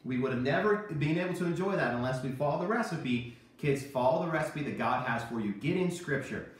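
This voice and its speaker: A man's voice: on the high side, lively intonation, fairly quick. A man in his thirties giving children an emphatic, repetitive Bible lesson in a kitchen. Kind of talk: kids' sermon with a puppet sidekick. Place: home kitchen, indoors. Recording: very echoey room, distant microphone.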